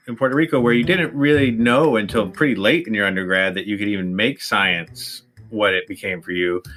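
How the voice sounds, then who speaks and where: A man's voice: on the low side, fairly bright tone. A man hosting a podcast, asking a long, winding interview question. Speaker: podcast host.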